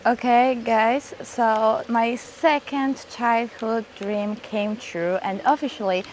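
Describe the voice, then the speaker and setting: A young woman vlogging on vacation, her voice sitting a bit high. She talks slowly and playfully, addressing her viewers directly as she shows off a hotel room and the view. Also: fairly even intonation, darkish tone, slight background noise, rough recording. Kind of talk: casual travel vlog.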